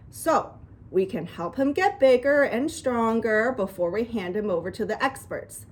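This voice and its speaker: Woman playing a calm, patient mother. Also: medium pitch, slight background noise, close to an everyday voice. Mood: gently firm. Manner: soothing, explanatory.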